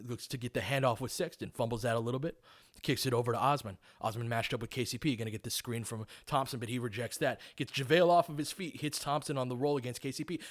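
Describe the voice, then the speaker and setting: A man giving live, informal basketball play-by-play as a partisan fan, breaking down tactics in detail as he goes. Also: medium pitch. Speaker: play-by-play commentator.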